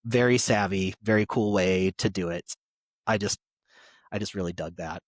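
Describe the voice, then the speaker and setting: A man's voice: low voice, moderate pace, no echo. Man chatting casually, dry close sound. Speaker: podcast panelist. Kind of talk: casual chat.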